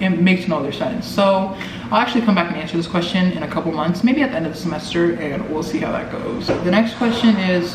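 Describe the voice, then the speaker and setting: Young american guy vlogging, kinda high voice, rambly chat, noisy room far from the mic. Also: reverberant. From Omaha, Nebraska, United States. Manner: informal, rambling, heavy use of "like". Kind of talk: casual chatty Q&A to camera.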